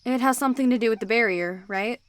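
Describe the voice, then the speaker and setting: A woman's voice: on the high side, fast talker, clear, resonant tone, lightly acted. A woman playing a protective young supernatural woman who keeps secrets, earnest and pleading, in amateur roleplay acting. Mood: serious, concerned.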